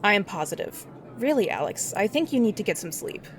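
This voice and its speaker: A woman's voice: medium pitch, very animated, soft background music, close to an everyday voice. An actress playing an inquisitive female friend who fires off questions and doubts what she hears. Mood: skeptical. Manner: inquisitive, quick questions, skeptical. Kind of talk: audio drama acting. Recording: loud background noise.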